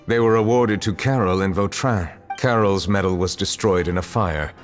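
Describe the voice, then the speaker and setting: A man voicing a dignified chief justice with a very low voice, calm and measured in every word. Long reverb hangs on the voice, soft music behind. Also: close to an everyday voice. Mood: stern.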